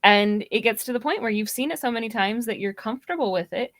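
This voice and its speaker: A woman's voice: on the high side, fast, a bit bright, no echo, studio quality. A woman in a casual, conversational discussion as part of a podcast panel. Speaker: podcast guest or co-host.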